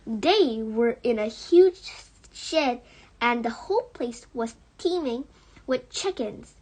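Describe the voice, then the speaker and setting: A woman reading a children's story aloud as an audiobook, with expressive narration. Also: a little high, slow. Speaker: audiobook reader.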